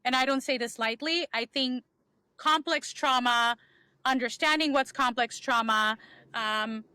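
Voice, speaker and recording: A woman's voice: high-pitched, unhurried, sharp tone, clean tone, dry recording. A woman, a podcast guest candidly telling her life story in a reflective way with many ums. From Bangladesh. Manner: reflective, frequent um fillers.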